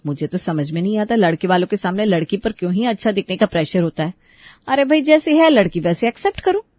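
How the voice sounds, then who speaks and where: A woman's voice: medium pitch, animated, fast, no echo, dull audio, natural voice. A woman voicing a young, outspoken, skeptical sister-in-law in a story drama, blunt and exasperated.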